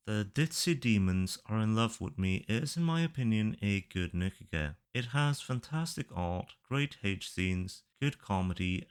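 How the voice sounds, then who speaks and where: Smooth, lowish-voiced man giving reviews. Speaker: video creator giving reviews. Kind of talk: opinionated review commentary, semi-scripted narration.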